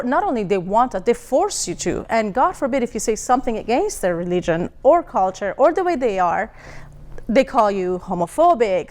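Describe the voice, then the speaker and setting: A woman's voice: fairly high pitch, a little mellow. A woman from Iran, a singer interviewed as a guest, answering earnestly and often restarting her sentences.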